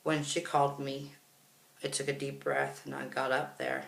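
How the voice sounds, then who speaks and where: A man tells a personal story casually, as if for a vlog. His mid-pitched voice has a lot of vocal fry. The sound is roomy and echoey, and he talks in a chatty way, often quoting others. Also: monotone, normal speed, a bit bright. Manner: chatty, reenacts others' words. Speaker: vlogger.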